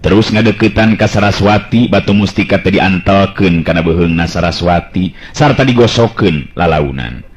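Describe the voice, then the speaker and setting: A man's voice: low voice, slight background noise. A man with a Sundanese accent narrating a folk tale as a dramatic radio storyteller. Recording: dull lo-fi radio-style recording.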